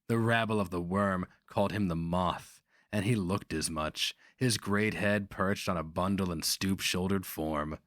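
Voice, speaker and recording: A man narrating a fantasy story as a dramatic reading, grave, deliberate and theatrical. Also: sits low, fairly bright tone, no echo, clean studio sound.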